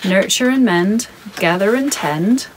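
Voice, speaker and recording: A woman in her thirties reading her picture book aloud to children in a soft, calm, measured way, recorded in her home studio. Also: medium pitch, slight background noise, poor audio.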